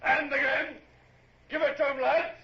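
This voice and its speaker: Gunnery officer, very high strained calls, slow drawn-out commands, echoey, radio drama. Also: male voice, flat delivery, highs cut off. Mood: bellowing. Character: dutiful naval gunnery officer bellowing orders. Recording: echoey, very noisy vintage radio recording.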